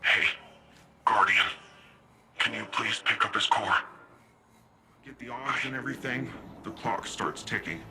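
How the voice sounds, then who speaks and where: An actor playing an earnest, informal sci-fi city defender in a game cutscene, brave and wry but shaken. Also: male voice, on the low side, measured pace, pauses often, pushed and bright, exaggerated voice. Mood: grieving, bravely wry. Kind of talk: video game cutscene acting.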